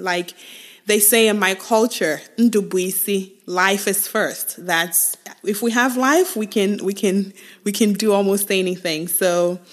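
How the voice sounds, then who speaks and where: A young woman from West Africa talking alone about her life, halting and thoughtful, often restarting her phrases. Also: mid-range voice, a bit bright. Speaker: podcast host. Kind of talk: reflective personal monologue.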